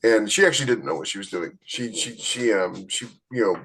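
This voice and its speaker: A man with a slightly low voice talks as a podcast co-host. His delivery is casual and conversational, and he often restarts a sentence as he reaches for the details. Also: animated, fairly quick, a bit bright, husky.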